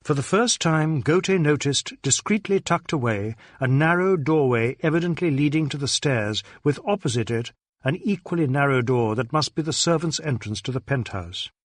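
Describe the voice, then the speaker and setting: A man narrates a detective story in a dramatic, scripted reading style. His voice sits a little higher than most men's. The sound is very dry, with no room around it. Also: expressive, moderate pace, no room sound. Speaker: audiobook narrator.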